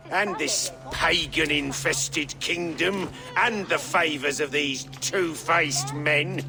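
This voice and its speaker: A man's voice: on the high side, exaggerated voice. An actor playing a proud deposed Saxon king with a theatrical, sardonic and craven manner in a video game.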